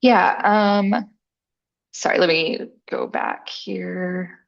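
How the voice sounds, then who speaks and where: A woman's voice: lowish voice, fairly even intonation, extremely slow, vocal fry, lo-fi sound. A young woman in her twenties, a graduate student answering questions casually, hedging with "like".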